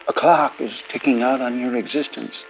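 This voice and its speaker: An actor playing a menacing, grandiose politician in a 1950s-set audio drama, speaking oratorically and emphatically through a telephone filter. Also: male voice, mid-range voice, fairly even intonation, moderate pace, lo-fi sound, soft background music. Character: menacing, grandiose male politician scheming for power.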